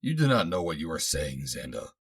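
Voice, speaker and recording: A man playing an honourable adventurer who speaks formally and earnestly, commanding yet gentle, in an adventure story. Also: extremely low pitch, sing-song, brisk, husky, full character voice. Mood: gentle warning.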